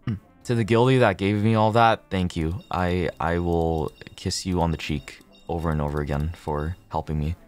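A man livestreaming a game, chatting casually with viewers, swearing freely, repeating himself and thinking out loud. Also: low-pitched, steady tone, normal speed, few pauses, soft background music.

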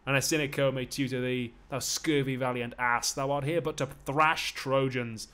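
A man's voice: mid-range voice, a little fast, lightly acted. A man playing a bitter, railing male slave in a stage play, sneering and ranting out insults. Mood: contemptuous.

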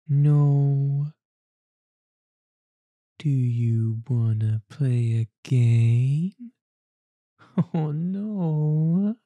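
A woman speaks very slowly in a soft, cooing, soothing voice, playing a mother in an ASMR roleplay. Her tone is deep, dark and mellow, and she sits close to a microphone in a completely dry space. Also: no room sound. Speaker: roleplay performer.

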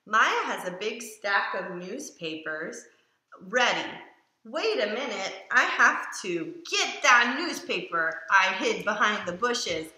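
A woman's voice: mid-range voice, sing-song, slow, sharp tone, heavily acted. A woman voicing an eager, curious family dog who narrates a children's picture book with simple, emphatic delivery. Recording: roomy echo around the voice.

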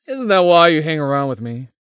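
A man's voice: on the high side, very animated, moderate pace, a bit bright, clean tone, dry recording, studio quality. A man playing a hardworking, teasing young best friend in a visual novel. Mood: casual, wry. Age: young adult.

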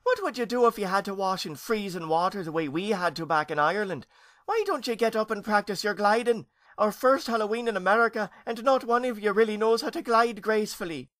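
A man's voice: high voice, fairly quick, fairly bright tone, no room sound, studio quality, pitched above the performer's own voice. A man voicing a fretful, bossy lady ghost aunt from Ireland in a children's storybook, with dramatic wailing and scolding. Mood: worried, scolding.

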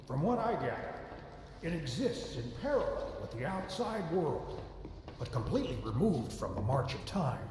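A self-assured, theatrical studio founder, grand and confiding in a game cutscene. His slightly high voice rises and falls dramatically. Heavy hall reverb and faint ambience surround him. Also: big pitch swings, moderate pace, darkish tone, a little hiss or hum. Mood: ominous. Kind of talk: video game cutscene acting.

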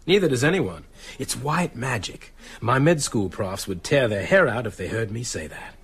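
An actor playing a dry, wry small-town American doctor in a radio drama. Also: male voice, mid-range voice, lively intonation, normal speed, fairly bright tone, husky. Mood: wry, musing. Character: wry, brisk small-town American doctor, sceptical but open-minded.

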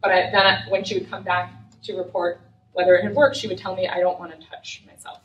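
A woman's voice: fairly low pitch, level tone, fast talker, fairly bright tone, lo-fi sound, slight background noise. A young adult woman giving a conversational, earnest lecture as a physician, speaking with many fillers. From United States. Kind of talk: academic lecture. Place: lecture room. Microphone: far room mic. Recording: echoey lecture room from far off.

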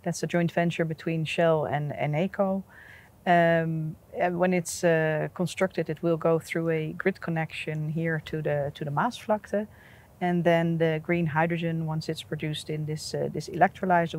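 A middle-aged woman speaking in an outdoor interview, halting with many restarts and easy laughs, on a lapel mic. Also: low-pitched, a little mellow. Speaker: energy company representative. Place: outdoors at an industrial port site.

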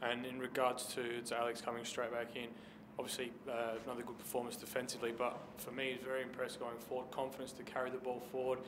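A man's voice: medium pitch, monotone, quick pace, noisy background. A man, a reporter putting long, detailed questions to a coach at a press conference.

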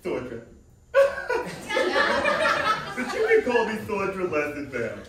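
A woman's voice: medium pitch, wildly swooping pitch, takes it slowly, very noisy. A woman playing a boastful, self-important celebrity love-advice hostess on a small theater stage, with showbiz patter and giggles. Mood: grandiose. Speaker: comedy character performer. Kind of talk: improvised stage comedy. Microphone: far room camera. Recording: echoey theater from far off.

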